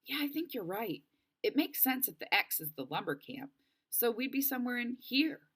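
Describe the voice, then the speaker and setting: A woman's voice: on the high side, big pitch swings, moderate pace, breathy edge, pitched above the performer's own voice. A woman voicing a practical, level-headed young camper who guides a stranded friend, in a storybook read-aloud. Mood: matter-of-fact. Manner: matter-of-fact, reassuring. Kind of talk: storybook character voice.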